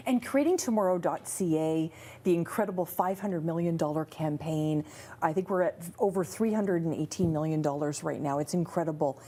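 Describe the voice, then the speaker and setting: Bubbly woman TV host, warm low voice. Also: moderate pace. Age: middle-aged. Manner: bubbly, effusive. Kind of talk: TV interview hosting. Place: TV studio.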